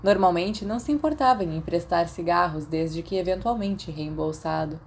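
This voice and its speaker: A woman's voice: fairly low pitch, normal speed, gravelly, slight background noise. A woman with a Brazilian accent reading scripted fiction as an audiobook narrator. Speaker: podfic narrator.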